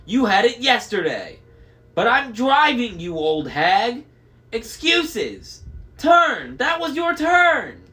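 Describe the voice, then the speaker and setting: A man's voice: very high-pitched, sing-song, measured pace, bright, forceful tone, faint music behind, pitched above the performer's own voice, full character voice. A man voicing bickering, spiteful old hag sisters in a screeching, frantic storybook delivery. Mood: quarrelsome. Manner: screeching, wailing, quarrelsome delivery.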